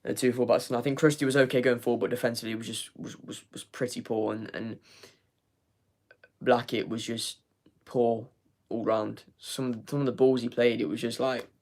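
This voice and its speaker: A man's voice: medium pitch, steady tone, normal speed. A young man hosting a live football fan review, losing his voice, rambling with repeated words and many fillers. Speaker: fan channel host. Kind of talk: live match review, reading chat.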